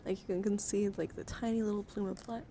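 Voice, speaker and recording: A woman livestreamer chatting casually in an ASMR style, halting and rambling as she thinks aloud. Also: mid-range voice, fairly even intonation, warm tone, a little hiss or hum. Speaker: livestreamer showing a dice collection. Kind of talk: casual ASMR chat to viewers.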